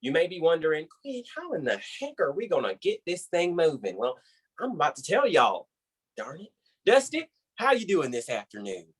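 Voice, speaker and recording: A man's voice: on the high side, huge pitch swings, normal speed, a bit bright, breathy edge, full character voice. A man with an American accent playing a folksy, effusive, rambling boss on a conference call in a comedy web series. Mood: upbeat.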